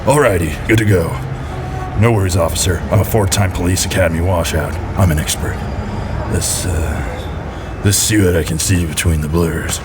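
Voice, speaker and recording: A low, deadpan detective voice acted by a man, grandiose yet bumbling, in a hardboiled comedy audio drama. He sounds like a dim gumshoe who thinks he is the best, over clear background noise. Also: normal speed, close to an everyday voice. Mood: self-important. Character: deluded, dim, self-important male private detective. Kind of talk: hardboiled detective parody narration and dialogue. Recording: rough, clearly noisy background.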